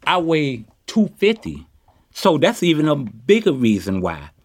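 A man's voice: on the high side, huge pitch swings, measured pace, no echo, clean studio sound. A man in his fifties from Chicago, talking boastfully in street slang while interviewed on a city street. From Chicago, USA. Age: 50s. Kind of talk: candid street conversation. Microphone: handheld mic.

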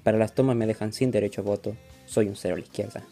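A Chilean man playing a bitter, self-loathing bullied schoolboy in a deadpan radio play monologue. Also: on the low side, very fast, faint music behind, close to an everyday voice. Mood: bitter, dejected. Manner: flat, deadpan listing of failings.

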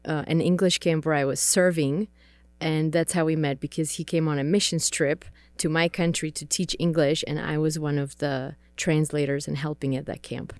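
A woman from the Czech Republic speaking English with a Czech accent, calmly sharing her background in a podcast chat. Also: sits low, dry recording. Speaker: missionary, ministry partner. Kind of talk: casual podcast conversation.

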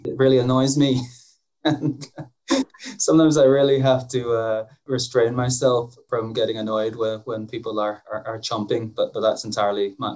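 A man's voice: mid-range voice, darkish tone, poor audio. A man who grew up in Bangladesh, hosting a podcast conversation in a friendly, self-deprecating way with frequent restarts. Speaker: podcast host.

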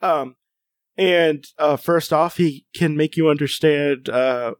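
A man's voice: on the high side, slow, no room sound, studio quality. A man co-hosting a podcast in casual, playful chat, rambling with many fillers. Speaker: podcast co-host.

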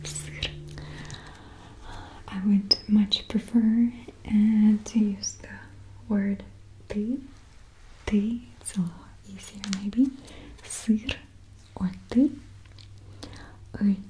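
Slow whispering woman with a Russian accent. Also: medium pitch, steady tone, a little hiss or hum, poor audio. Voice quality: soft whisper. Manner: slow, patient, repeats each sound. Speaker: language teacher. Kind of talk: ASMR whispered Russian alphabet lesson.

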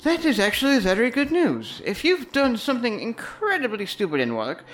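A man's voice: high voice, fairly bright tone, low-quality recording. A man playing a cynical, dry, mocking spaceship electrical tech in a sci-fi comedy audio drama.

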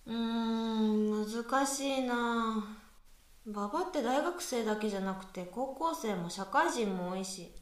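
A woman's voice: fairly high pitch, slow pace. A woman playing a skeptical, exacting young female university student with dry retorts in a conversational radio drama. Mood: skeptical, exasperated. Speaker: voice actress in amateur radio drama. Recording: echoey room, far from mic.

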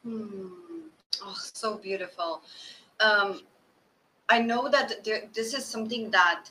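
A woman hosting a podcast interview, expressive and lively as she asks questions. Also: medium pitch, slow, slight background noise. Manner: lively, expressive, animated retelling. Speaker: podcast host. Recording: echoey and distant.

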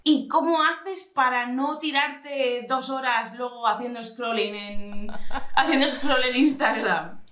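Woman podcast host, slightly high voice, curious questioner, echoey room. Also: takes it slowly, crowd noise. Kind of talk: casual podcast conversation.

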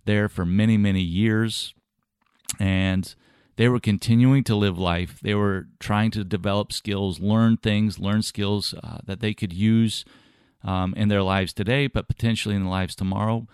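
A man hosting a podcast, talking earnestly and at a measured pace with some fillers, his voice slightly scratchy from a sore throat. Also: fairly low pitch, darkish tone, clean tone, dry recording, studio quality. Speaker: podcast host. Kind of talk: podcast monologue on ministry travels.

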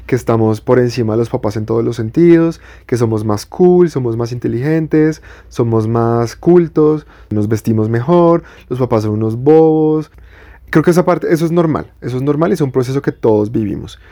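Young bogotá guy on a podcast, warm mellow voice, thinks out loud, restarts a lot. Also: medium pitch, moderate pace. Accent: Bogotá Colombian Spanish. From Colombia. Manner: reflective, explains at length with restarts. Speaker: podcast co-host. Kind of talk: casual chat.

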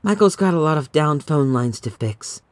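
A man's voice: on the high side, lively intonation, moderate pace, no echo, voice pushed up, natural voice. A man voicing an uneasy, weary mother in a horror audiobook. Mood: uneasy, soothing. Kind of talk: audiobook character voice.

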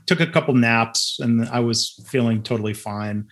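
A man's voice: medium pitch, moderate pace. Man moderating a webinar, easygoing. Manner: relaxed, many fillers. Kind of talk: webinar conversation.

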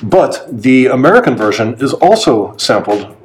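A man's voice: medium pitch, sing-song, rough recording. A man co-hosting a podcast in casual chat, teasing and joking with his guests. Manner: joking, teasing, quick-talking. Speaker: podcast co-host.

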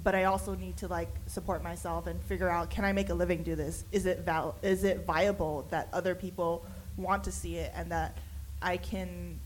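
A woman's voice: lowish voice, little pitch movement, moderate pace, hardly any pauses, slight background noise, low-quality recording. A woman writer speaking on a panel, rambling with many restarts and fillers. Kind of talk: panel discussion.

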